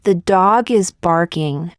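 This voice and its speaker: A woman reading short practice sentences aloud for learners, saying each one twice, slowly and carefully. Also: fairly low pitch, smooth, no echo, clean studio sound. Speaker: English teacher.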